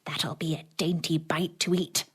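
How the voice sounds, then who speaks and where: A woman voicing a wicked, greedy, bossy old witch in a children's fairy tale, jeering and commanding with drawn-out words. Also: low-pitched, level tone, husky, voice pushed down. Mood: muttering greed.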